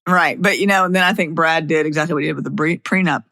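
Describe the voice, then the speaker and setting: A woman's voice: sits low, fast talker, creaky, sharp tone, no room sound, studio quality. A middle-aged woman speaking as a podcast host and attorney, in a blunt, plain-spoken way. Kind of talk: scripted narration and opinionated podcast talk.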